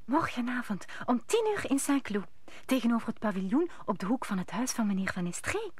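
A woman's voice: on the high side, sing-song, brisk, breathy edge. An actress playing an anxious lady, confidante to a queen, in a radio play. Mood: guarded.

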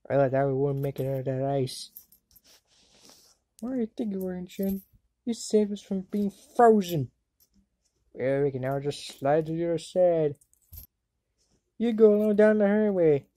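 A woman's voice: medium pitch, darkish tone, no echo. A woman voicing a chatty young student wizard in an amateur anime fandub, with a wry, exclamatory manner. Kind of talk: anime fandub character acting.

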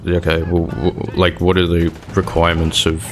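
Man playing wary survivor, deep voice. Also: monotone, normal speed, over music. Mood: questioning. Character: wary, questioning male zombie-apocalypse survivor. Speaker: player in a roleplay skit. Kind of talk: improvised game roleplay dialogue.

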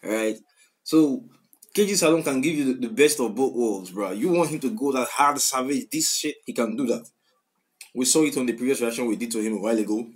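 A man's voice: medium pitch, a little fast. A man in his twenties with a Nigerian accent, giving casual commentary on songs and repeating lyrics in slangy talk. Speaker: reaction video host. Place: small room at home, indoors. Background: music playing.

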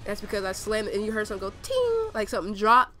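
Fast-talking young woman, nasal and congested. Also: medium pitch, sing-song, fairly bright tone, soft background music. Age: young adult. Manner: slangy, swearing, anecdotal. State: sick with a cold. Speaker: gaming video creator. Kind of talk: casual rambling gameplay commentary.

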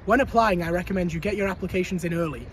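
High-voiced young man outdoors. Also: rapid-fire. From United Kingdom. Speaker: student. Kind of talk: scripted testimonial. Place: outdoors by a canal. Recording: outdoor street noise.

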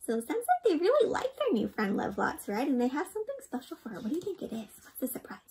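A young woman reading a storybook aloud to children in an expressive, interactive way, often putting questions to the listener. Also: high voice, quick pace, slight background noise. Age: young adult. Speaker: children's read-aloud host. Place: indoor room.

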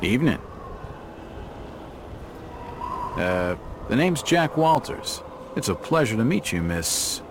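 An actor playing a wry, persistent hard-boiled detective in a video game, sardonic and probing. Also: male voice, mid-range voice, very animated, moderate pace, background noise.

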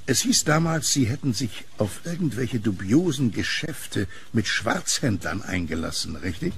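Man playing a gravelly, reserved kiosk keeper. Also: on the low side, normal speed, slight background noise. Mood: guarded curiosity. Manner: calm, polite, guarded. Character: reserved, curious blind male kiosk keeper with dog. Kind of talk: German horror audio drama acting.

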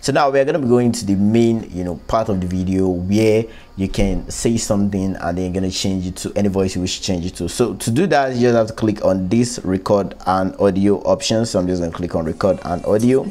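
A man with a low, mellow voice hosts a phone app tutorial. He talks informally and explains each step as he goes. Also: a little fast, few pauses. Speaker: tech tutorial host. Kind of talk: casual screen-recorded app walkthrough. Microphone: close one-way microphone, voice-over.

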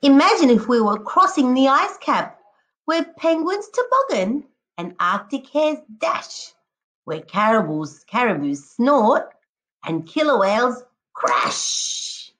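A woman teacher reading a picture book aloud to children, expressively, addressing them directly and encouragingly with drawn-out emphatic words. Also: high-pitched, very animated, a bit bright, rough recording. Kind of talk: expressive children's read-aloud with prompting questions.

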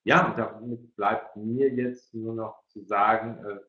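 A middle-aged or older man moderating an online discussion, speaking haltingly and often repeating words. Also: on the low side, steady tone. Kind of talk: hosting an online parents' evening discussion. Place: indoor room, video call. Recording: roomy, dull video-call audio.

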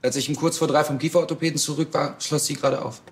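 A man's voice: fairly high pitch, steady tone, fast, natural voice. An actor playing a guarded, curt butcher's journeyman in a naturalistic TV drama style.

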